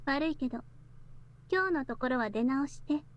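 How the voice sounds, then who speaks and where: Haughty possessive young lady from a visual novel, really high voice. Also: animated, fast talker, dull audio. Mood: cool, dismissive. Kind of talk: Japanese visual novel voice acting.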